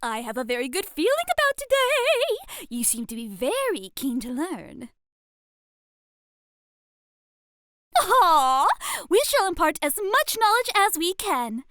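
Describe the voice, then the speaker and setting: A woman's voice: extremely high pitch, very animated, a little slow, fairly bright tone, dry recording, studio quality, heavily acted. A woman voicing an exuberant, refined etiquette teacher in an ASMR roleplay, with theatrical, gushing delivery and elegant, formal diction. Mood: playful, encouraging.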